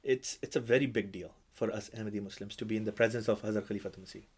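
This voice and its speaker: A man who speaks Urdu as his first language, hosting a podcast as an imam, talking in a measured, reverent way. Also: fairly low pitch, fairly even intonation, slightly rough. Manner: measured, reverent, with religious phrases. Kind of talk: conversational interview.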